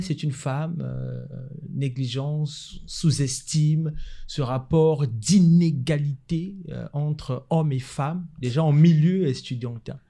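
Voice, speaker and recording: Male host, mellow dark timbre, chatty and rambling. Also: fairly high pitch. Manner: chatty, adds asides and restarts with "allez, euh". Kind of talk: casual interview.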